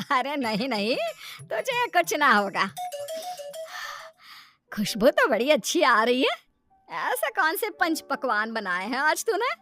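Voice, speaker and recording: A woman voicing a sharp-tongued but good-hearted old blind mother-in-law in a moral story cartoon, with grumbling, exclaiming delivery. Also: high-pitched, sing-song, soft background music, full character voice. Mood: pleased, curious. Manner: grumbling, exclaiming, drawn-out calls.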